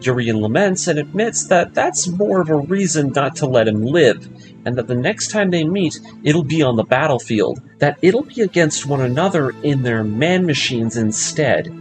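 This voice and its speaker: Male narrator for scripted story recaps, slightly high-pitched, very expressive intonation, music bed underneath. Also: moderate pace, low-quality recording. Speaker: narrator of anime story breakdown series.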